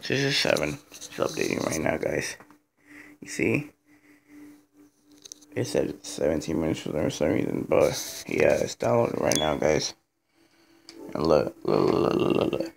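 A young man with a hoarse, sick morning voice, rambling casually to viewers in a phone vlog from his bedroom. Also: rumbling low, big pitch swings, unhurried, pauses often, slight background noise. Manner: rambling, filler-heavy, addresses viewers as guys. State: hoarse from being sick and just woken up. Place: dim bedroom at home, indoors. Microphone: phone held in hand.

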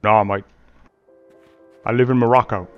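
A man's voice: mid-range voice, fairly even intonation, a little slow, clear, resonant tone, narrow, tinny audio, faint music behind. A man roleplaying a streetwise hustler on a game server, wheedling and tacking "mate" onto his lines in an accent that sounds put on. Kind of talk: in-character game roleplay over voice chat.

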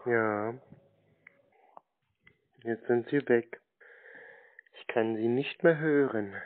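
A man on a phone call, answering tersely in a deadpan, obtuse way. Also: mid-range voice, drawn-out words. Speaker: scam-baiter playing a customer on the phone. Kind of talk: phone conversation. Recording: tinny, narrow-band phone line.

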